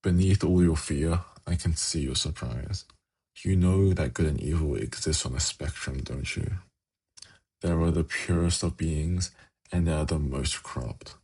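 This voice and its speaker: Seductive demon prince, male actor, fantasy ASMR roleplay. Rumbling bass with heavy fry, slow, sly, intimate coaxing. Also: darkish tone, full character voice. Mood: gently persuasive. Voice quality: gravelly, husky. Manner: slow, intimate, teasing, coaxing with formal wording.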